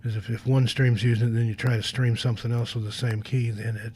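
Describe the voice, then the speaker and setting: Mellow, off-the-cuff man chatting on a livestream. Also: medium pitch, flat delivery, fast, slight background noise. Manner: rambling, off-the-cuff. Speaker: radio host. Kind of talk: casual livestream chat.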